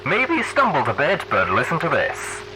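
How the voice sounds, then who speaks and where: A man performs a brash pony radio host from a post-apocalyptic storybook. The delivery is theatrical and folksy, with lively swings in pitch and punchy emphasis. Clear noise sits behind the voice. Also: normal speed, full character voice, poor audio. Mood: upbeat, proclaiming, then scandalised.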